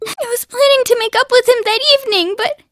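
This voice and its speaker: An actress playing a sweet, refined teenage princess in an anime game dub, with genteel, formal phrasing. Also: female voice, very high voice, quick pace, no room sound, studio quality. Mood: distressed, faltering. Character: sweet, poised teenage princess putting on a brave face.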